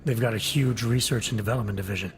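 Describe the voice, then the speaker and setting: An actor playing a guarded, skeptical, no-nonsense agent in a video game cutscene, speaking with restraint. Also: male voice, on the low side, fast, a little hiss or hum, lightly acted. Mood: guarded, determined.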